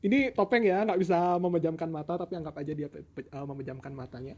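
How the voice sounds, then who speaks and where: A man's voice: a little high, fast talker, a little mellow, lo-fi sound. A man telling a folk tale in a playful, joking way, with asides to his listeners. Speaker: storyteller. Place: at home.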